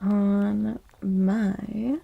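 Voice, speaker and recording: A woman speaks softly and close to the microphone as she hosts an ASMR livestream. Her voice is mellow with a creaky fry, and she takes her time. The delivery is casual and chatty, like talk addressed to a chat room of viewers. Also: mid-range voice, level tone, lo-fi sound. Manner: chatty, unhurried, greets viewers by name. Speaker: live streamer hosting ASMR tarot stream.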